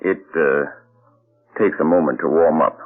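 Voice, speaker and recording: An actor playing a cold, measured male mad scientist who threatens quietly, in an old radio drama heard as a tinny broadcast. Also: a bass voice. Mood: menacing. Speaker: villain inventor. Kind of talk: 1940s radio acting.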